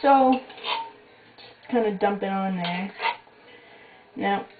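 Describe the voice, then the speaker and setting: A young woman hosts a do-it-yourself cooking show in her kitchen, dropping wry, self-mocking asides as she goes. She is recorded by a camera across the room, so her voice comes out tinny and phone-like, with a roomy echo and clear background hiss. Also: medium pitch, slow pace. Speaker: home cooking show host. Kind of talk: casual jokey cooking demo. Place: small home kitchen at night. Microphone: fixed camera mic across the kitchen. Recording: echoey, tinny, noisy home camcorder audio.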